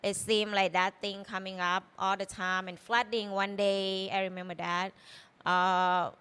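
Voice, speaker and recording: Smooth-voiced Thai woman chatting. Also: medium pitch, flat delivery, a little slow, fairly bright tone. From Thailand. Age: 30s. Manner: chatty and loose, full of "you know" and "like". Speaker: chef and guest. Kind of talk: casual interview.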